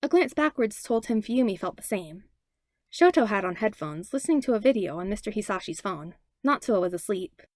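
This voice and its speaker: Woman, story reader, scripted narration, medium pitch, very dry close sound. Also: big pitch swings, brisk, dry recording. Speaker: podfic reader.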